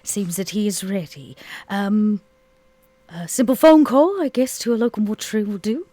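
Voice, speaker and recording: A player acts out an elegant vampire lady for a tabletop horror podcast. The pitch is middling, and the delivery is refined, theatrical and commanding, with a taste for the macabre. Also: normal speed, warm tone, no echo, close to an everyday voice. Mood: planning, composed.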